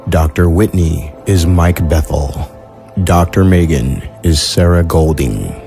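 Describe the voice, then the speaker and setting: Slow, low-voiced male narrator over music. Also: vocal fry, mellow. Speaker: narrator reading show credits. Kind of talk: scripted narration.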